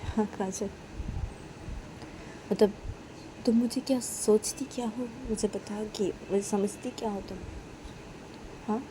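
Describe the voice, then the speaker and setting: A woman's voice: mid-range voice, steady tone, a little slow, pauses often, noisy background, lightly acted. A woman voicing an anxious, pleading young woman. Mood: hurt. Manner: pleading, explaining herself gently.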